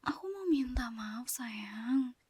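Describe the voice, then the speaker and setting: A woman, whispering a slow, coaxing ASMR girlfriend roleplay into a close mic. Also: a little high, lively intonation, slightly rough, no room sound. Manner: soft coaxing whisper, apologetic and pleading.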